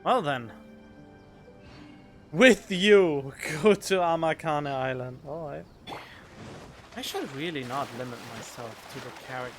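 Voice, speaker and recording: Male game streamer, fairly high voice, casual commentary, close microphone, faint music behind. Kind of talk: casual gameplay commentary, reading dialogue choices aloud. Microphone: close studio microphone.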